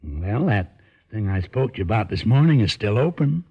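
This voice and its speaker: Husky, sly small-time crook. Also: male voice, on the low side, big pitch swings, normal speed, heavily acted. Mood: sly coaxing. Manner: wheedling, teasing drawl. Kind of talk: old-time radio drama acting. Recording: dull, lo-fi old radio recording.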